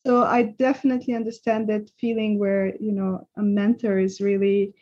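A woman from Serbia hosting a podcast, speaking softly and asking reflective questions. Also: medium pitch, little pitch movement, moderate pace. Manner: soft, attentive, asks reflective questions. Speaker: podcast host. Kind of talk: casual interview chat. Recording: dull lo-fi sound.

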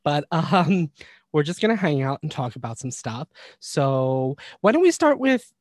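A man's voice: fairly high pitch, a little slow, no echo. Casual male podcast host, dry sound. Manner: relaxed, rambling with fillers.

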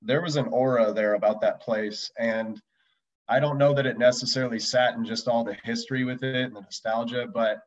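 A man's voice: mid-range voice, fairly even intonation, a little fast. A man reminiscing casually as an interview guest in a conversational way. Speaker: interview guest, former relief pitcher. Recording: dull lo-fi audio, distant from mic.

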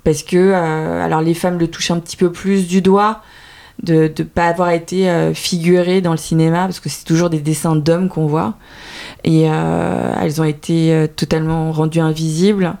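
A woman with a low, gravelly voice speaks in a relaxed conversation. Her tone is dark and mellow, and she thinks aloud with frequent pauses and fillers. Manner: thoughtful, hesitant, many fillers. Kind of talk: casual conversation.

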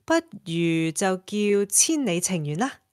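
A woman's voice: medium pitch, very animated, takes it slowly, darkish tone, clean tone, dry recording. An actress plays a sassy, marriage-shy woman in her thirties in a radio play. She has a mid-range voice and playful, sarcastic retorts, recorded dry. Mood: teasing, frank. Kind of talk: Cantonese audio drama acting.

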